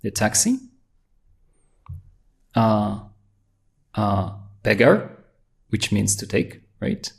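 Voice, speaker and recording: A man presenting a software tutorial, walking through a demo in excited, fast talk full of restarts. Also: on the low side, gravelly. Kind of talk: screen-share software walkthrough.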